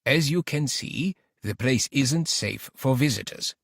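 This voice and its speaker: A gruff, suspicious workman, acted out by a male narrator, blunt and irritated. His questions come pitched up and challenging, in a very dry, close audiobook sound. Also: animated, measured pace, fairly bright tone, dry recording, full character voice. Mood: curt. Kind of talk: audiobook character dialogue.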